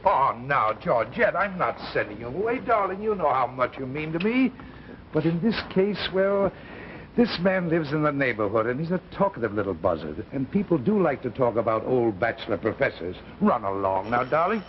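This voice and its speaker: An actor as an animated, absorbed radio-drama professor. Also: male voice, mid-range voice, normal speed, natural voice. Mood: affectionate. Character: absorbed bachelor professor, genial but evasive and impatient. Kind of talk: 1950s radio drama acting and narration. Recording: muffled, noisy 1950s radio recording.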